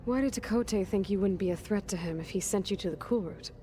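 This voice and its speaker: A woman's voice: medium pitch, quick pace, dark tone, faint music behind, close to an everyday voice. An actress playing an earnest young woman warrior in a video game, direct and plain-spoken. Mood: curious. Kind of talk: naturalistic video game acting.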